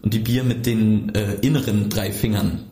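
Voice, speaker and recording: Deep-voiced easygoing man in a roomy space. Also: fairly even intonation, normal speed, dark tone. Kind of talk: casual podcast conversation.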